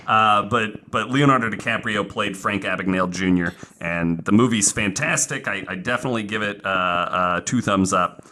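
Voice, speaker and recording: A man hosting a podcast, telling a true story casually in an informal, halting way with some self-corrections. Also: fairly low pitch, pushed and bright. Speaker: podcast host. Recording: faint background noise.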